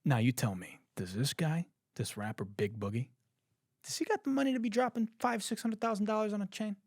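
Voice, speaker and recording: A young man hosting a podcast, ranting in disbelief with lots of swearing and laughter, a little hoarse. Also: sits high, a little fast, no room sound, studio quality. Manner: profane, laughing, incredulous ranting. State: hoarse, says he has lost his voice. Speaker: podcast host. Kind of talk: casual comedic commentary.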